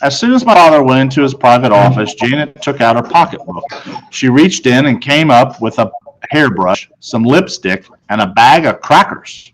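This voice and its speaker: A man's voice: medium pitch, big pitch swings, normal speed, a crowd in the background, low-quality recording. Male narrator, mid-range voice, for a read-aloud of a children's chapter book. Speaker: reader of a children's novel.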